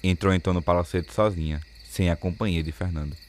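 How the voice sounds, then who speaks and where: A man's voice: low voice, fairly even intonation, fast, a little hiss or hum. A Brazilian man narrating a radio soap opera in a scripted, dramatic storytelling style.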